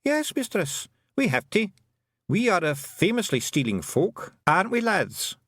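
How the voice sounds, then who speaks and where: A man's voice: sing-song, measured pace, no echo, studio quality, exaggerated voice. A man voicing a tiny, scrappy blue fairy clansman in a broad Scottish brogue. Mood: rowdy. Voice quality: gruff broad brogue, high and shouty. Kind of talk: audiobook character voice.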